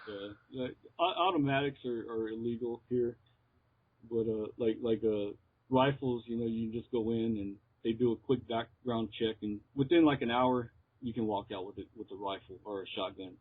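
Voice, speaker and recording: A man's voice: medium pitch, normal speed, breathy edge. American man on a tinny phone line. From Texas, United States. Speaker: interviewed metal musician. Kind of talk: casual chat in an interview. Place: remote call.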